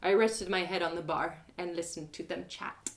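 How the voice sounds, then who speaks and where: A woman's voice: lowish voice, fairly even intonation, normal speed. A woman storyteller narrating a first-person tale in an emotive, theatrical way. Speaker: storyteller, audio drama author-performer.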